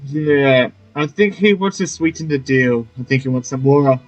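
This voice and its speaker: A man's voice: medium pitch, normal speed, lo-fi sound, faint music behind. A man giving casual, joking let's-play commentary, reacting aloud to a game.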